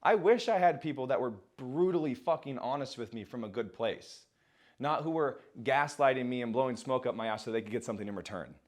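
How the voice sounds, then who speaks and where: A man's voice: medium pitch, animated, fairly quick. A man giving a blunt, motivational talk to a small group and answering questions, swearing freely. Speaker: speaker and coach. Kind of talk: motivational speech and Q&A.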